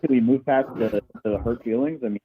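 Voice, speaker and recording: Midwest guy calling in, husky, tinny phone sound, keeps stumbling and restarting. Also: mid-range voice, a little fast. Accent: Midwestern American. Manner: hesitant, restarts a lot, defensive. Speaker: caller. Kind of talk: call-in debate.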